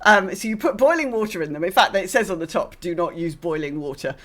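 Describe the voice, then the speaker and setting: A woman's voice: on the low side, expressive, fast talker, fairly bright tone. A British woman hosting a podcast in casual chat, rambling and hesitant as she talks. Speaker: podcast host.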